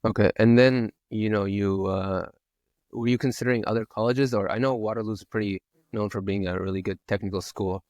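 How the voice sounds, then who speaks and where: A man hosting a podcast, asking questions in a halting way, often restarting and repeating words. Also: on the low side, steady tone, darkish tone, dry recording, clean studio sound. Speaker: podcast host. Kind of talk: casual podcast interview.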